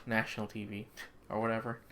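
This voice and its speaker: A man's voice: fairly low pitch, monotone, fast, creaky, fairly bright tone. A man co-hosting a podcast, chatting casually in a laid-back, somewhat hesitant way. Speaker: podcast co-host.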